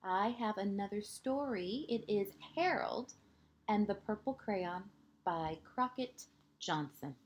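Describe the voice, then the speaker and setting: A woman reads a children's storybook aloud in the manner of a kindergarten teacher. Her delivery is slow and deliberate, with highly varied intonation. She leaves dramatic pauses and leans on key words for young listeners. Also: lively intonation. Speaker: transitional kindergarten educator reading a picture book to pupils.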